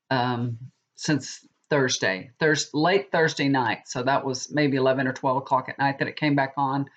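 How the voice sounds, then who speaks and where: A middle-aged woman, stuffy and sniffling from a cold, chatting casually and rambling to her viewers. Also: extremely low pitch. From Texas. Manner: chatty, rambling, with many restarts. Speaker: tarot reader. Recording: dull lo-fi recording.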